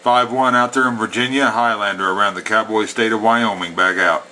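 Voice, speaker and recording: A man's voice: on the low side, steady tone, a little fast, bright, forceful tone. A man operating a CB radio, speaking in a folksy way with CB slang, between radio calls and casual explanation. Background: CB radio static and chatter.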